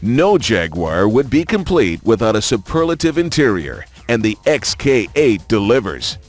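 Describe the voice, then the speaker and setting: Animated male promo narrator over music. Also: lowish voice, normal speed. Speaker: narrator of a car showcase in a racing video game.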